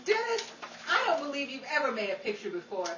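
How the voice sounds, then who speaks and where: A woman playing a brisk, sassy Hollywood showgirl and presenter in old-time radio style. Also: high voice, huge pitch swings. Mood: exasperated, sassy. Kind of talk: old-time radio comedy acting and newsflash narration. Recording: echoey, distant recording with faint noise.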